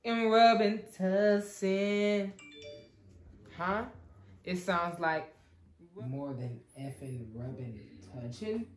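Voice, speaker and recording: A woman's voice: mid-range voice, drawn-out words, long pauses. A young woman bantering with her mother, pestering and playful in tone. The sound is roomy, with echo off the walls of the house. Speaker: host's adult daughter. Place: indoors at a family home. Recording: echoey room.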